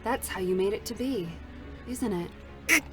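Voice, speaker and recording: A woman voicing a weary, battle-hardened female android deserter, speaking in a cold, flat, bitter way during a live concert reading. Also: mid-range voice, measured pace, lightly acted. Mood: cold, mocking. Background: faint music.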